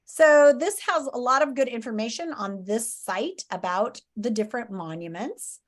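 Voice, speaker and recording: A woman's voice: fairly high pitch, moderate pace. A woman teacher giving an informal, step-by-step lesson to students, thinking aloud as she explains.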